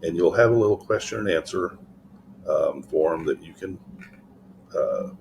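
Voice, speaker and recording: An older man, a college writing tutor, walks through an online tutoring tool from his office, explaining in a relaxed way with frequent ums. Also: low voice, a little slow, vocal fry, slight background noise. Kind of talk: screen-share tutorial walkthrough. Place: office, indoors.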